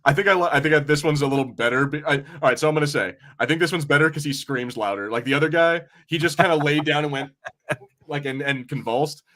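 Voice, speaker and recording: A man's voice: on the high side, quick pace, fairly bright tone. A man co-hosting a podcast in casual film chat, rambling informally and often cutting himself off. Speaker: podcast co-host. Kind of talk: casual watch-party chat about films.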